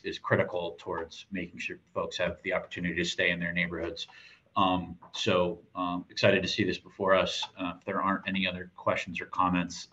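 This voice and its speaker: Deep-voiced man chairing a board meeting. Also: pushed and bright. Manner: brisk procedural. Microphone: video call. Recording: dull lo-fi call audio.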